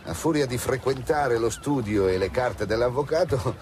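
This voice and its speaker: A man's voice: medium pitch, expressive, moderate pace, darkish tone, slight background noise, lightly acted. An actor playing a genial, wry and shrewd male police commissioner in a radio drama. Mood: wry, pondering. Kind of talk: Italian radio drama acting.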